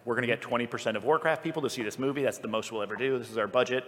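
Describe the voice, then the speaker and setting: A man's voice: medium pitch, racing, a bit bright. A man on a live panel discussion, reasoning through his points. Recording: live panel in a reverberant hall.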